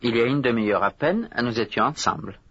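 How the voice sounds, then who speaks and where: A man giving careful, measured answers in a scripted language drill, each phrase spoken clearly for learners to repeat. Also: mid-range voice, fairly bright tone, no room sound. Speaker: language course model speaker. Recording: dull lo-fi dry studio recording.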